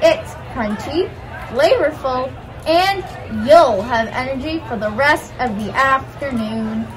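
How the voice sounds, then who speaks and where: A woman's voice: sits high, expressive, slow, crowd noise, rough recording. A young woman voicing a pushy, helpful restaurant waitress sock puppet in a school puppet skit. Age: young adult.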